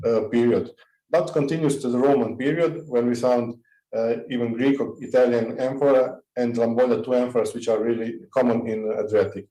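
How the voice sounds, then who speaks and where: Male presenter on a video call, halting, mellow tone, roomy audio. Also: medium pitch, steady tone. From Croatia. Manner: halting, many fillers. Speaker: conference presenter. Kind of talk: online presentation. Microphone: computer mic on a video call.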